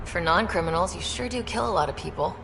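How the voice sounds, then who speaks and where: A woman's voice: fairly low pitch, animated, fast, vocal fry, long reverb tail, noisy background. A woman playing a composed young explorer hero in a video game, direct and dry in delivery. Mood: firm, challenging. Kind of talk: video game cutscene acting.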